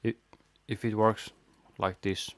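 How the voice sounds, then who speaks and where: A man presenting an audio tutorial, casually explaining a software setup step by step into a close microphone. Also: fairly low pitch, level tone, takes it slowly, no room sound.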